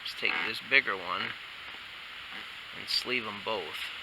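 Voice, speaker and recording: Male DIY installer with a creaky, gravelly voice, casual step-by-step delivery in short bursts, noisy homemade video sound. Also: on the low side, slow. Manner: talks in short instructional bursts while working. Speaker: installer demonstrating a how-to. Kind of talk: casual hands-on tutorial narration while working. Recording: clearly noisy amateur workshop video sound.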